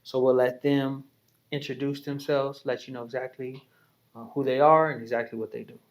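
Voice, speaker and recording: A man's voice: medium pitch, flat delivery, moderate pace. A man hosting a podcast, leading an interview in a chatty, encouraging way, often adding "right?" and "you know". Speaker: podcast host.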